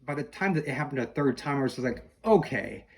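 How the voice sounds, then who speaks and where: Man giving a halting game review. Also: medium pitch, lively intonation. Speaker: podcast guest reviewing a video game. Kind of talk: casual chat, game review.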